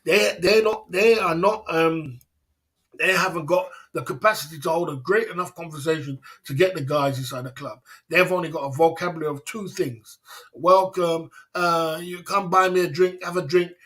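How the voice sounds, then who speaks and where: Middle-aged man, slightly high voice, brags and repeats himself on a livestream. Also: moderate pace, fairly bright tone. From England. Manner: repetitive, boastful, acts out dialogues. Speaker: livestream host. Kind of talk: casual livestream talk.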